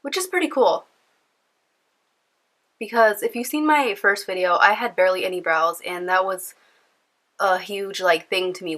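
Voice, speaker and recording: A young woman talks to the camera at home, her voice nasal and stuffed up from a cold. Her tone is bright and forward. She rambles casually with plenty of fillers. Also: fairly low pitch. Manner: chatty, rambling, lots of fillers. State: congested from a cold. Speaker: beauty vlogger. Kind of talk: casual chatty vlog. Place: at home indoors.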